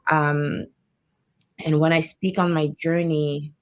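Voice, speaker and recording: A woman's voice: low voice, level tone, slow pace. A woman born in Cameroon and raised in Canada, speaking reflectively on a video-call panel and searching for her words. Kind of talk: panel discussion.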